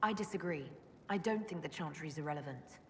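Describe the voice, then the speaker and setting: Female fantasy leader: measured, earnest, inquisitive game dialogue, long reverb, clear ambient noise. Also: low-pitched, expressive, a bit bright. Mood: calm, curious. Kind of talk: video game character dialogue.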